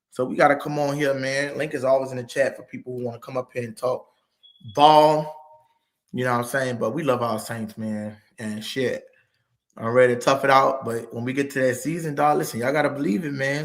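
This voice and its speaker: A man's voice: mid-range voice, fairly bright tone. A man hosting a casual late-night livestream from home on a laptop mic, laid-back, profane and slangy. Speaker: stream host.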